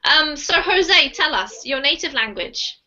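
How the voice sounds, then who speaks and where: A woman with a high, bright voice leads a live online English lesson in a friendly, chatty way. She speaks over a video call, and the sound comes through dull and lo-fi. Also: animated, measured pace. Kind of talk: live online group class. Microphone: computer mic on a video call.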